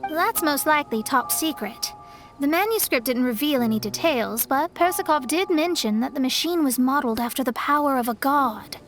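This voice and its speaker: A woman's voice: high voice, expressive, moderate pace, a bit bright, soft background music. A woman voicing an earnest young female investigator in a video game dub, speaking in an articulate, explanatory way.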